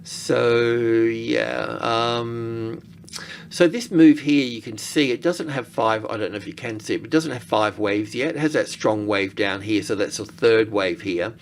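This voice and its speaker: A man giving informal technical commentary on charts as an analyst, thinking aloud in a halting way. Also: medium pitch, gravelly, slight background noise. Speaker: market analyst presenter.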